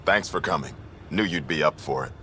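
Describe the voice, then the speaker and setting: A guarded, serious colony leader in a sci-fi game. His low voice is tense and forceful, with terse delivery. Faint ambient noise sits behind him. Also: a little fast. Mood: worried. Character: gruff, dutiful outpost leader, guarded and serious. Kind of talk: video game voice acting.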